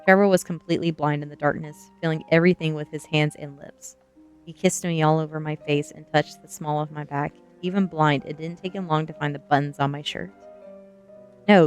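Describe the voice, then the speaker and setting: A woman reading a first-person story aloud as ASMR, with soft music behind her. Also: low-pitched. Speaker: streamer and reader.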